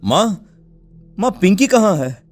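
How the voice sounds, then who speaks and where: A man's voice: high-pitched, sing-song, takes it slowly, faint music behind, exaggerated voice. A man playing a distraught village father in a cartoon story, plaintive and melodramatic. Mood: worried. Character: distraught village father searching for his daughter. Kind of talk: Hindi cartoon story acting.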